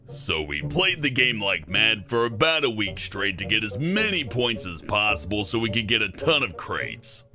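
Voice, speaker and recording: A man's voice: lowish voice, bright, forceful tone. A man narrating short storytime anecdotes in a casual, conversational way.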